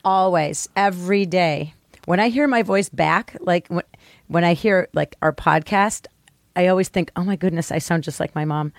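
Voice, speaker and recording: A woman hosting a podcast, telling stories in a lively way and quick to laugh. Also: lowish voice, creaky, dry recording, clean studio sound. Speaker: podcast host. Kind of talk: casual conversation.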